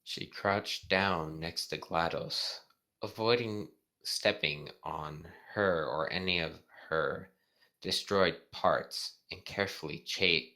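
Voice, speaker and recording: A man co-hosting a casual amateur read-aloud of fan fiction, halting and often stumbling over his words. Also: low voice, sharp tone.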